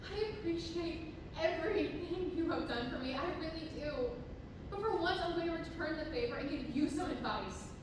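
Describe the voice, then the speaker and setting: Woman acting very high-voiced emotional young sister, echoey recording. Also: animated, moderate pace. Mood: tender. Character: distressed young woman, one of two devoted sisters. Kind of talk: staged play reading, emotional acting. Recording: echoey noisy room from far off, rumble.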